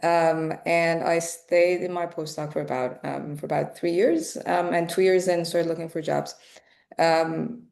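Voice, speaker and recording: A woman in her thirties speaking as a professor on an online alumni panel, thoughtful and pausing often. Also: on the low side, fairly even intonation, creaky, darkish tone. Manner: thoughtful, many pauses. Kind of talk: casual panel talk. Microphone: video call.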